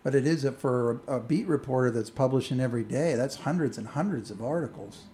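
A man's voice: medium pitch, fairly quick, dark tone, breathy edge. A man hosting a community radio show, introducing guests with an upbeat announcer delivery. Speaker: radio host.

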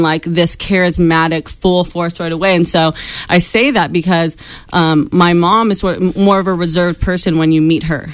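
A young woman who is a radio host, talking as a guest in a studio interview in a chatty, quick way with frequent laughs. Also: lowish voice, dry recording.

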